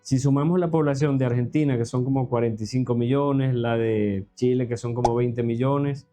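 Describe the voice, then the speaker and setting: A man's voice: mid-range voice, steady tone, normal speed, dark tone, faint music behind. A man in casual reaction chat, reasoning aloud with many tag questions. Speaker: co-host reacting to a video.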